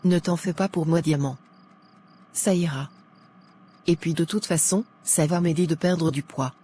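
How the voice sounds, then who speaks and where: A woman's voice: low voice, a little slow, mellow, a little hiss or hum. Woman acting a dejected, rejected young woman.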